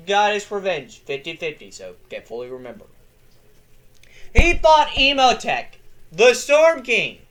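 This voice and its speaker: Excitable, slow-talking young man yelling lore. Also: very high-pitched. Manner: excitable, swears freely, bursts into yelling. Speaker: lore video host. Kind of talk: casual lore narration from a script.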